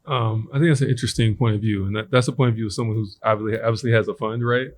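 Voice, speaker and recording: A man's voice: on the low side, steady tone. A man on a conference panel, a startup founder talking thoughtfully with many ums and restarts. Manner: thoughtful, filler-heavy, builds points slowly. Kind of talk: panel discussion. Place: conference stage.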